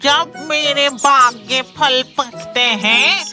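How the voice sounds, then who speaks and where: A woman's voice: high-pitched, drawn-out words, sharp tone, faint music behind, heavily acted. A woman voicing a watchful, scolding witch who guards an orchard in a Hindi cartoon story. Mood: teasing reproach. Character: watchful witch guarding her magic fruit orchard, older sister.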